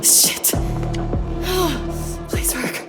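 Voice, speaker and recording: A woman playing a frightened but defiant therapist on the run in an audio drama, anxiously repeating herself in breathy fragments. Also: very high voice, drawn-out words, loud music behind the voice, heavily acted.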